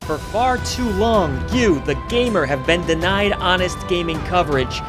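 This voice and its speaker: A man's voice: high voice, animated, moderate pace. A man reading a scripted channel promo as a dramatic, grandiose announcer. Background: prominent music.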